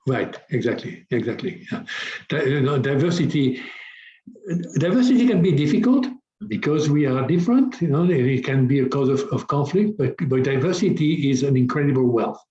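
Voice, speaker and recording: A man's voice: mid-range voice, very animated, moderate pace, dark tone, roomy sound, muffled recording. A middle-aged man from France, a business coach speaking as a guest in an interview, talking hesitantly with many restarts and fillers. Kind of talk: conversational interview.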